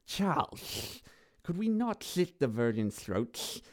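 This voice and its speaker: A man voicing a sly, insolent undead male cultist with a gurgling, gravelly voice, slow and scheming, heard a little off the mic. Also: mid-range voice, very animated, pitched above the performer's own voice, exaggerated voice. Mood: wheedling.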